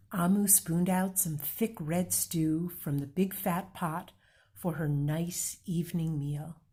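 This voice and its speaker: A woman reading a children's picture book aloud, slow and gentle, pausing to point out the pictures. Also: lowish voice, breathy edge. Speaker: read-aloud reader.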